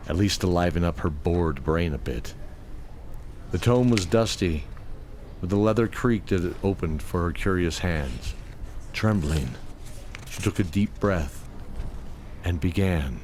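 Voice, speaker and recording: A man's voice: low-pitched, lively intonation, a little slow, pauses often, darkish tone, slight background noise. A man narrating a scripted fantasy audio drama as its storyteller. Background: prominent background music.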